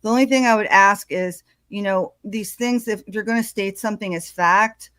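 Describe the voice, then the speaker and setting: A woman's voice: medium pitch, moderate pace, fairly bright tone, dry recording. A woman doing casual true crime commentary in a hesitant way, with many ums and uhs.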